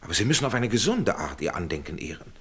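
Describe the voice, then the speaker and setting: An actor in a German radio play voicing a well-meaning, paternal middle-aged gentleman, husky and emphatic, with educated, persuasive phrasing. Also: deep voice, big pitch swings, fast talker, exaggerated voice. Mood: urging, consoling. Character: well-meaning gentleman friend, paternal, urging, somewhat worn.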